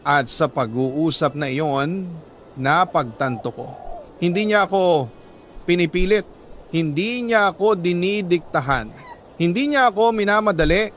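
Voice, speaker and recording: Filipino male storyteller, fairly high pitch, dramatic sentimental letter narration with deliberate phrasing, slight noise in the recording. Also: fairly bright tone. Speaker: storyteller reading listener letters.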